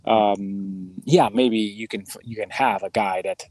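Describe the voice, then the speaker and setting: A man co-hosting a sports podcast over a remote call, giving casual basketball analysis in halting, measured, non-native English phrasing. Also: lowish voice, steady tone, darkish tone, dry recording, low-quality recording. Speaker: podcast co-host. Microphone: remote call over mobile connection.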